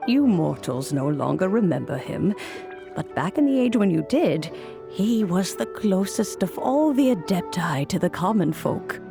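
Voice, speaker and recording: An actress playing a wise, serene, grandmotherly immortal in a game, with a measured, stately storytelling delivery. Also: medium pitch, sing-song. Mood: solemn. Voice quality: creaky. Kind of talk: dramatic game voice acting. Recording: music behind the voice.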